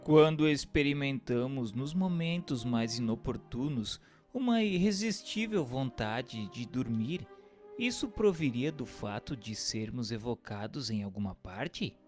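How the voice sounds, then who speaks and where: A man's voice: medium pitch, animated, unhurried, darkish tone. Reverberant man reading formal narration. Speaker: narrator and questioner. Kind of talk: scripted narration, reading aloud. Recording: long hall reverb, music underneath. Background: music.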